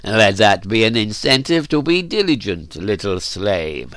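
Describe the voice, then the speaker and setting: A man voicing a commanding slave-house master in an audiobook, deliberate and imperious with a coolly mocking edge. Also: fairly low pitch, big pitch swings, fairly bright tone, no echo, clean studio sound. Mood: slyly mocking.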